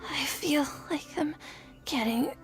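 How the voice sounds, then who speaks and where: A woman's voice: high-pitched, takes it slowly, faint music behind. A woman voicing a naive, feverish little child in a video game, in a weak, whimpering, earnest voice. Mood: fearful, tearful.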